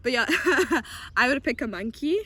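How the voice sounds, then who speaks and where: A woman's voice: high voice, unhurried, husky. Bubbly young Zimbabwean woman vlogger. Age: young adult. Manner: bubbly, giggly, chatty and animated. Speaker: YouTuber. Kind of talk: casual get-to-know-me chat.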